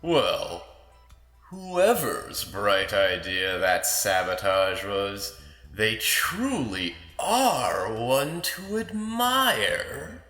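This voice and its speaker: A man playing a malicious, taunting lord in an audio drama, with a theatrical, sly and menacing delivery and drawn-out phrasing. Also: fairly low pitch, wildly swooping pitch, pushed and bright, echoey room, soft background music, exaggerated voice. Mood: sly, mocking. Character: malicious, taunting lord haunting a woman's dreams.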